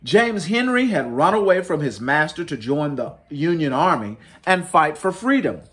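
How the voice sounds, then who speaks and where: A man's voice: on the high side, very animated, normal speed, a bit bright. A man hosting a story time, reading a picture book aloud to families in a dramatic, emphatic way. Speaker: children's storybook reader, host.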